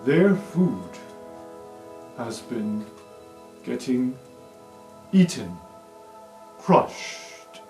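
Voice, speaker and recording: A man doing a slow, halting plant-born student. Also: medium pitch, big pitch swings, mellow, heavily acted. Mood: grave, earnest. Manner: halting, deliberate, formal phrasing. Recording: roomy sound, music underneath.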